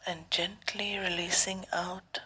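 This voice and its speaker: A woman meditation teacher speaks extremely slowly in short, calm phrases separated by long pauses. Her voice is soft and breathy, often close to a whisper, and sits fairly low for a woman. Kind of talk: guided meditation.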